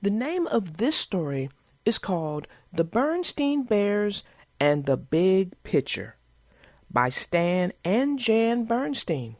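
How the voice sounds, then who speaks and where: Animated, sing-song woman reading aloud. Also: mid-range voice, takes it slowly, no room sound, studio quality. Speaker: storyteller. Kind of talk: children's book read-aloud.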